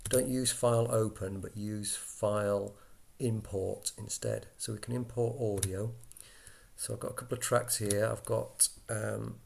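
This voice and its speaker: A man giving an informal software walkthrough, explaining step by step in a conversational way as an instructor. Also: on the low side, fairly even intonation, a little slow, gravelly.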